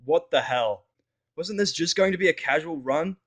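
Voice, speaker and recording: A man's voice: fairly high pitch, moderate pace, a bit bright, pitched above the performer's own voice. A man playing a bitter, foul-mouthed, callous human man in a fan fiction audio drama, blunt and snapping. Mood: irritated.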